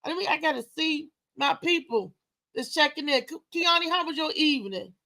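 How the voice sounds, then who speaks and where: Casting: female comedian-host, high voice, sassy and slangy, casual morning-show banter. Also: animated, a little fast, bright, forceful tone. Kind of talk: casual live morning show chat, ranting.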